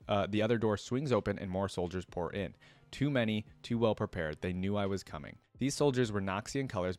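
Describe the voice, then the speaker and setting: A man with a fairly low voice hosts a reaction video. He moves between reading fiction aloud and tossing in his own casual, expressive remarks as a fan.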